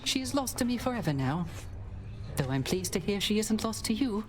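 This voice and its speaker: A woman's voice: medium pitch, sing-song, a little fast, close to an everyday voice. A woman voicing a melancholy, sensitive bard shade in a video game, with hesitant, flowery phrasing. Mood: wistful. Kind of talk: dramatic video game voice acting.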